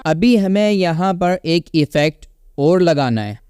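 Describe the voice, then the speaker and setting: A man's voice: a little high, expressive, unhurried, music in the background. A man with an Indian accent giving a casual, instructional step-by-step app tutorial, often checking in with listeners. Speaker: tutorial host. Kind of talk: casual step-by-step app tutorial, Hindi mixed with English words.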